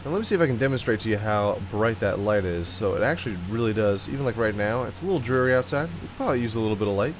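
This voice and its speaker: A man's voice: fairly low pitch, expressive, racing, hardly any pauses, soft background music. Stuffy-nosed young man goofing through a game. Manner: goofy, jokey, sings and riffs to himself. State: stuffed up from a cold. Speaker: gaming YouTuber. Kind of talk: playful let's-play commentary.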